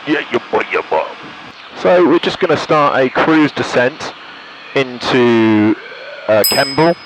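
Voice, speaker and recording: A man's voice: mid-range voice, animated, slow, noisy background. A British man giving a casual commentary from a light aircraft cockpit, thinking aloud and making radio calls into a headset. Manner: thinks aloud while concentrating. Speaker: pilot and vlogger.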